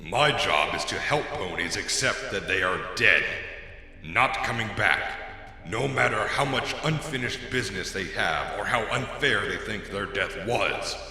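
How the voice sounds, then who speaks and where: Guy doing a skeleton death pony, lowish sharp voice, super echoey, formal and awkward, fan audio drama. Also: animated, heavily acted. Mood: dry, formal. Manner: formal, deliberate, pedantic. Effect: heavy echo reverb. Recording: very echoey with long reverb.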